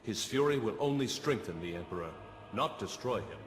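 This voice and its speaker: An actor playing the solemn spirit of a fallen Jedi master in a game cutscene, grave and measured. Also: male voice, on the low side, animated, close to an everyday voice. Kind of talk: video game cutscene acting. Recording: echoing voice over game music.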